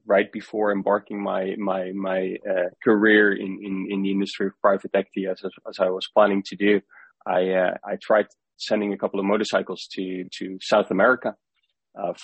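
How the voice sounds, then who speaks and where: Gravelly low Dutch-accented man. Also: no echo, low-quality recording. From Netherlands. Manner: halting, often repeats words as he thinks. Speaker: startup co-founder and CEO. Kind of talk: interview answers.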